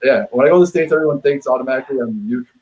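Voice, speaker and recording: An emphatic, opinionated man from Quebec, talking casually as a guest on a live whisky stream. His voice sits at an ordinary middle pitch, and he stumbles over repeated words when he is fired up. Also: racing, a little mellow, poor audio. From Quebec, Canada.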